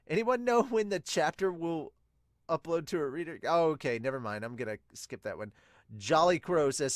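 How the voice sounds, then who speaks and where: A man hosting a podcast, reading aloud and reacting casually with excitable exclamations. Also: on the high side, no echo, clean studio sound. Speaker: podcast host and reviewer. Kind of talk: manga chapter dub reading and casual reaction commentary.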